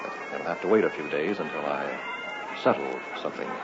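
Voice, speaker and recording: Curt, troubled radio-drama lead with a very low, gravelly voice, muffled old recording with music behind. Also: male voice, brisk. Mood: evasive, curt. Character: troubled man, tense, curt, fearing he is hunted.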